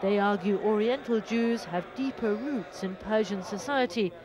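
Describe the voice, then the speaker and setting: Measured woman narrating a news documentary. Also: medium pitch, crowd noise. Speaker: reporter.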